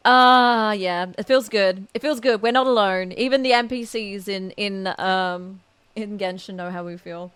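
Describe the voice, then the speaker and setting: A woman's voice: medium pitch, measured pace, a bit bright, clean tone, dry recording. A young woman streaming a game with casual live commentary, gushing, exclaiming and giggly in manner.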